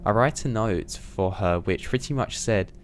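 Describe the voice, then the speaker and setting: A young man narrating a scary first-person story in a steady, scripted read. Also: lowish voice, normal speed, a little hiss or hum.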